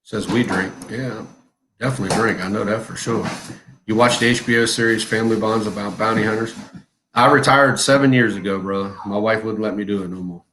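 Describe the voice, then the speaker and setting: A man's voice: on the low side, fairly even intonation, fairly quick, fairly bright tone, slight background noise, low-quality recording. A man in his forties chatting casually on a live stream, laid-back, laughing often and telling long stories. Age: 40s. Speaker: co-host of a live chat stream.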